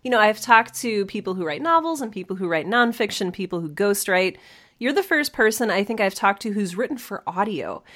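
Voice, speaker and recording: A woman's voice: medium pitch, sing-song, brisk, a bit bright. A woman hosting a podcast interview in an informal, enthusiastic, chatty way. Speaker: podcast host. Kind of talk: casual interview chat.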